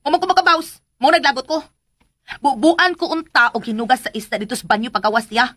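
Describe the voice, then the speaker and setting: A woman's voice: high voice, fast talker, pushed and bright, no room sound. A woman acting a spiteful, hot-tempered, bossy schemer in a melodramatic radio drama. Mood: sulky, angry. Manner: bossy, exclaiming, scolding.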